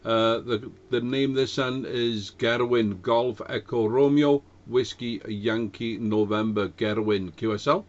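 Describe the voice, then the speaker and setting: A man speaking as an amateur radio operator, courteous and hesitant, with frequent pauses. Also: medium pitch, fairly even intonation. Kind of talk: ham radio contact with spelled-out call signs. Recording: dull, band-limited amateur radio audio.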